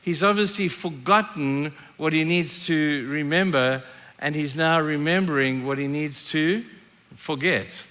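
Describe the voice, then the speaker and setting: Male preacher, sixties, from Zimbabwe. Forceful, bright, emphatic delivery of sermon and scripture, long hall reverb. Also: fairly high pitch, unhurried. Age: 60s. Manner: emphatic, repeats key phrases for effect. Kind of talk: sermon with storytelling and scripture reading.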